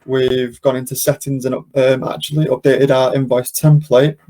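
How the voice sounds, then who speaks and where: A man hosting a webinar, casually talking through a software demonstration step by step without a script. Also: medium pitch, little pitch movement, moderate pace. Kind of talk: unscripted software walkthrough.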